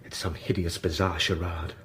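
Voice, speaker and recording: An actor playing an earnest, wounded lover in a radio drama, speaking intensely and emphatically. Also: male voice, low-pitched, flat delivery, normal speed, fairly bright tone, a little hiss or hum. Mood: bitter disgust. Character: earnest, wounded male lover pleading with a married woman.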